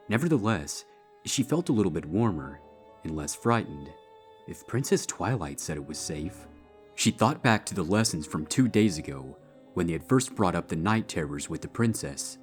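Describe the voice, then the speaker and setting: A man giving a scripted narration of a story as its narrator. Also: low voice. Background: background music.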